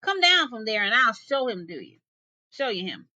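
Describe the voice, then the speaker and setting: A woman's voice: fairly high pitch, sing-song, moderate pace, sharp tone, no echo, muffled recording, heavily acted. A woman voicing a knowing, sly little hare in a folktale, giving folksy instructions.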